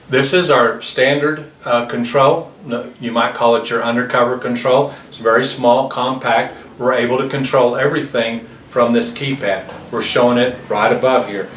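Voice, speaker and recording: Man, product demo presenter, bright-toned voice, recorded from a distance with faint hiss. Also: medium pitch. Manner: plain explanatory, walks through features step by step. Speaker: product demonstrator. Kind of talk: product demonstration. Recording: roomy, distant mic with faint noise.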